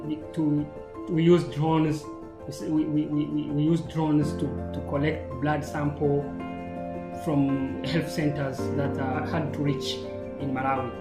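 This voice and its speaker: A man's voice: on the high side, little pitch movement, measured pace. A man from DR Congo, a French speaker, giving an earnest recorded testimony with stumbling repetitions. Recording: roomy sound with loud music behind.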